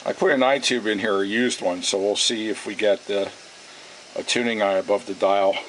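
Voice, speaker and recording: A man giving a casual, unhurried repair demonstration, thinking aloud in a folksy way, recorded on a camera microphone in his shop. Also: on the low side. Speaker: radio repair vlogger. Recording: steady noisy camera sound in workshop.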